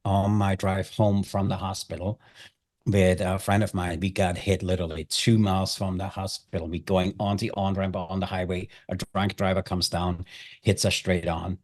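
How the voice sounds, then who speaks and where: A middle-aged man with a distinctly low voice and an Austrian accent, his first language being German. He speaks as a chef being interviewed, sharing stories in a conversational style. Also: level tone, normal speed. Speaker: chef and hospitality guest.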